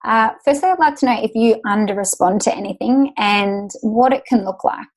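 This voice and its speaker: A woman's voice: medium pitch, normal speed, low-quality recording. Woman host with a casual, agreeable tone. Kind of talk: casual interview hosting.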